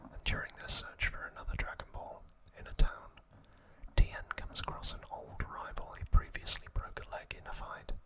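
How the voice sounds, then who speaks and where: A man narrating a scripted ASMR video in a breathy whisper, with deliberate phrasing in short pieces. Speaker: host of an ASMR channel.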